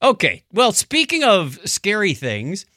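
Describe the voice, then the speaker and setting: A man's voice: sits high, huge pitch swings, measured pace, pushed and bright, no echo, clean studio sound. A man hosting a casual podcast, retelling odd news stories in a wry, bantering way. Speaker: podcast host.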